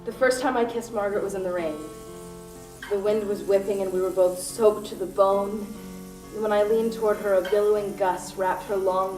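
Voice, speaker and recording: Young actress as a volatile grieving widow, roomy recording. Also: female voice, medium pitch, a little mellow, lightly acted. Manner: dramatic, volatile, poetic. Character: distraught grieving widow, armed hostage-taker telling her love story. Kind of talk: student radio play acting. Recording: roomy distant stage recording with music.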